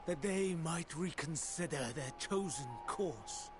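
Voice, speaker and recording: An actor playing a remorseful scholarly man in a game cutscene, speaking slowly and formally. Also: on the high side. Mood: sorrowful. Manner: slow, formal, sorrowful speech. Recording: game audio with music score.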